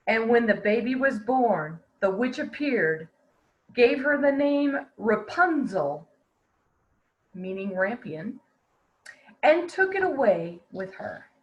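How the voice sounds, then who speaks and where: A woman reading a fairy tale aloud to children in an expressive storytelling style. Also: sits high, low-quality recording. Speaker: volunteer storybook reader.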